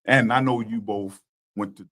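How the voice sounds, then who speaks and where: A man hosting a talk show and interviewing guests in a studio, animated and casual, often restarting his words. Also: on the low side, moderate pace. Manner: animated, laughing, stumbles over restarts.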